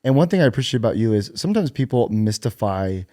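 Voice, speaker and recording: A man's voice: medium pitch, very animated, fast talker, mellow, no room sound, studio quality. A man in his twenties hosting a podcast, chatting casually and laughing often. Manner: laughs often, stumbles and restarts lightly. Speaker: podcast host. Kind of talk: casual chat.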